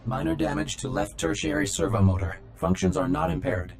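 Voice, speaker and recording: Game droid, dutiful and clipped, gravelly mid-pitched voice, music behind. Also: sing-song, a little fast. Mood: matter-of-fact. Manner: clipped technical status reports. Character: dutiful droid reporting damage and threats. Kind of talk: video game voice acting.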